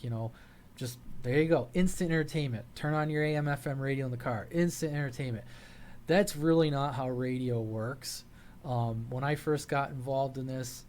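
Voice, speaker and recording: Male hobby vlogger, mid-pitched, folksy and informal, halting delivery, audible ambient noise behind the voice. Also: darkish tone. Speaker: hobby radio channel host. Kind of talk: casual vlog talk to viewers.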